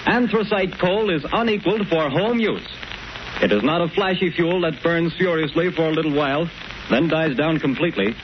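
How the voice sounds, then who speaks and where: A man's voice: on the high side, normal speed. A man reading a sponsor advertisement in an earnest, persuasive 1930s radio announcer style. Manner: earnest, persuasive salesman delivery. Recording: muffled old radio broadcast with hiss.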